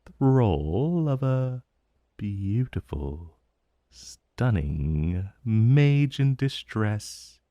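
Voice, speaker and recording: A man plays an ancient male dragon in a fantasy audio roleplay, speaking extremely slowly in a low, mellow, intimate voice. He is possessive and condescending, drawing out each vague threat with a smug purr. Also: no room sound, studio quality, exaggerated voice. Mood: teasing, amused. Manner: smug, purring, drawn-out menacing delivery. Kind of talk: intimate ASMR roleplay, slow and deliberate.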